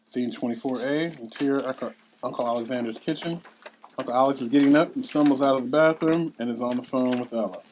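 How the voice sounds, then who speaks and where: A man's voice: mid-range voice, quick pace, darkish tone, a little hiss or hum, low-quality recording. A man with a mid-range voice reads stage directions aloud. He delivers scene headings and action as scripted narration at a table read.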